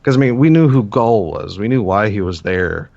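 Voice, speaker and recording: A man's voice: fairly low pitch, lively intonation, normal speed, vocal fry, darkish tone, no room sound, muffled recording. A man co-hosting a casual gaming podcast, rambling with many fillers and restarts. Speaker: podcast co-host.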